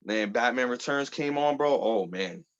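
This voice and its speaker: A man's voice: mid-range voice, expressive, normal speed, dull audio. A man in his thirties from the Bronx, chatting loosely as an interview guest, full of fillers and casual swearing. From the Bronx, New York. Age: 30s. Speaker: guest artist.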